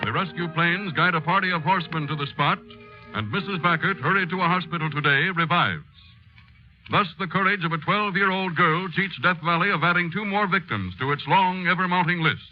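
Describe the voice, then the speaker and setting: A man's voice: sits high, brisk. A man narrating as a radio announcer in a solemn, emphatic 1930s newsreel style. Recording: muffled 1930s radio broadcast with music.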